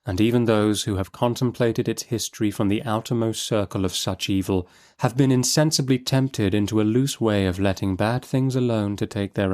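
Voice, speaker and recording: A man's voice: lowish voice, darkish tone. A man giving a dramatic, literary audiobook narration. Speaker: narrator and host.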